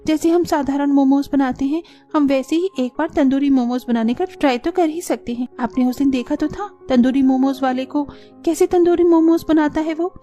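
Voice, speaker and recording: High-pitched, earnest young family woman played by an actress. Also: moderate pace, warm tone, natural voice. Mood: earnest, pleading. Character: gentle, caring young women of a poor family. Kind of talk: cartoon dialogue acting. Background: background music.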